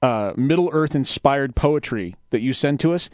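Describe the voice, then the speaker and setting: A man's voice: medium pitch, lively intonation, moderate pace, darkish tone, no echo. A man co-hosting a poetry radio show with playful, self-mocking banter in a studio.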